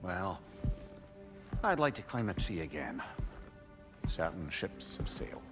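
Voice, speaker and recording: A very low voice as a wise, wry companion. He is frank and reflective, with game music underneath. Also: sing-song, unhurried. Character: wise, wry, candid companion advising his brooding brother. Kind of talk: dramatic video game acting.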